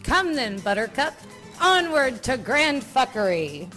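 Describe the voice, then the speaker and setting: A woman's voice: high voice, sing-song, slow, sharp tone, faint music behind, exaggerated voice. Woman as a brash, swinging-voiced warrior woman. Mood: mocking bravado. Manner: sarcastic, brazen, swearing. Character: brash, foul-mouthed Nord warrior woman follower. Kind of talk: voiced game character dialogue.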